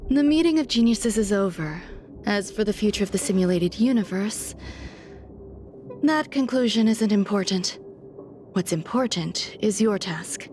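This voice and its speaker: An actress voicing an aloof genius woman scientist in a video game, speaking in a measured, formal, detached way. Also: medium pitch, lively intonation, darkish tone, slight background noise, lightly acted. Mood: detached, musing. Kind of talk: scripted video game dialogue.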